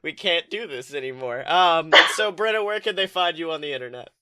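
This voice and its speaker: A man hosting a comedic podcast with casual, joking, profane banter in an animated manner. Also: on the high side, a little fast, clean tone. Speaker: podcast host.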